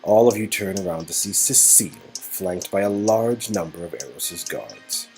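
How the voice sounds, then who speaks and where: Low-pitched man narrating, music behind. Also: animated, normal speed, a little mellow. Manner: goofy, rambling ad-libs. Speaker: narrator and player reading an interactive story game. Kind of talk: playful dramatic read-aloud with silly ad-libbed asides.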